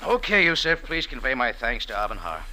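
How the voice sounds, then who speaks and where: A man's voice: mid-range voice, very animated, a little fast, pushed and bright. A man playing a tough, sardonic American café owner in an old-time radio adventure, narrating and talking in dry slang. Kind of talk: first-person radio adventure narration and dialogue. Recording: vintage radio drama, music behind.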